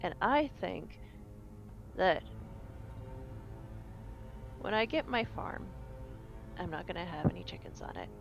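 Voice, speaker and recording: A woman's voice: lowish voice, big pitch swings, takes it slowly, vocal fry, pauses often, lo-fi sound. A woman playing a tabletop roleplaying game, bantering casually with an irreverent, profane streak. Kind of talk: casual bantering in-character play. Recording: live stream with music underneath. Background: music.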